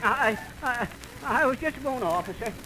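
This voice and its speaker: An actor playing a folksy, bumbling, boastful husband who blusters and stammers, in old radio comedy style. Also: male voice, very high-pitched, lively intonation, unhurried. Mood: sheepish, defiant. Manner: folksy grammar, stammers when flustered. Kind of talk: 1930s-40s radio comedy acting. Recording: noisy old radio broadcast recording.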